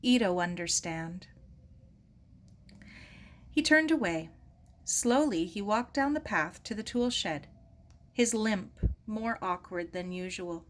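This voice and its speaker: A woman narrating a scripted story as an audiobook reader, in a deliberate, expressive storytelling manner. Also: medium pitch.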